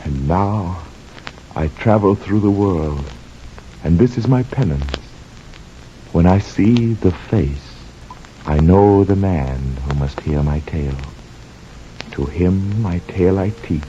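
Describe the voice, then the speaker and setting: A haunted seafarer recites his tale slowly, with solemn and fervent intensity. The old radio drama sound is muffled and lo-fi, with noise behind the voice. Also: sits low, warm tone. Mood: weary reverence. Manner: slow, solemn, impassioned, poetic delivery. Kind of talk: dramatic radio-play verse narration. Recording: dull lo-fi radio recording, clear noise.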